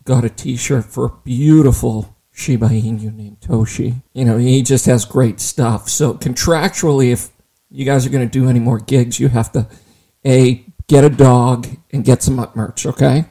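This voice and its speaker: A man hosting a casual interview, full of wisecracks and quick asides. His voice is mellow and darker in tone, and he sits at a middle pitch for a man. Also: fairly even intonation. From Ireland. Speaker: podcast host. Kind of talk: joking interview chat.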